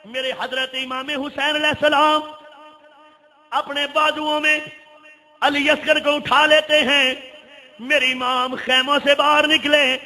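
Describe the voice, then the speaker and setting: A man preaching an impassioned, mournful religious narrative, declaiming in drawn-out, half-sung phrases. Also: very high-pitched, little pitch movement, bright, forceful tone. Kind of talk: impassioned sung-chanted sermon, dramatic lament. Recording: long hall reverb.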